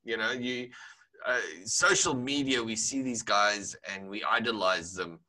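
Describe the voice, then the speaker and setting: Guy in his 40s hosting a chat, bright voice, rambles a lot, laughs easy. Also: fairly low pitch, measured pace, low-quality recording. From South Africa. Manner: eager, rambling, laughs often. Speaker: podcast host. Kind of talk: casual interview chat.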